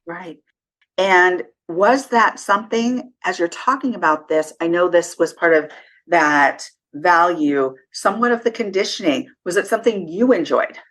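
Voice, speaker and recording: A woman's voice: fairly low pitch, unhurried, fairly bright tone. A woman hosting a podcast interview, prompting her guest with encouraging questions. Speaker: podcast host.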